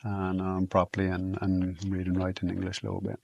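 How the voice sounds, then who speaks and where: Podcast guest: low-pitched South African man, Afrikaans first language, unhurried and reflective, lots of ums, dry close mic. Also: vocal fry, no echo, dull audio. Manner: relaxed, reflective, with frequent ums and restarts. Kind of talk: casual interview storytelling.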